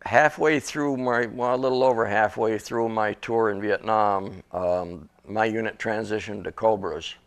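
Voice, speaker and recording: A man's voice: on the low side, fairly even intonation, measured pace, hardly any pauses, smooth. An older man from Western Massachusetts, an author and interview guest, speaking in a plainspoken, matter-of-fact way. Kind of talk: interview guest recounting memories.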